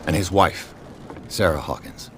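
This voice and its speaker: Low-voiced, probing detective. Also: male voice, unhurried. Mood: cool, probing. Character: cool, probing male private detective. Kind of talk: video game voice acting. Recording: faint ambient noise behind.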